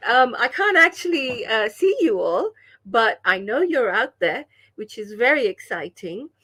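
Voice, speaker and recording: A woman's voice: fairly high pitch, very animated, measured pace, bright, forceful tone, slightly rough. Chatty woman leading an online workshop. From Pakistan. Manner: enthusiastic, chatty, frequent "yeah?" check-ins. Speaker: workshop leader. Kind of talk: online language workshop teaching.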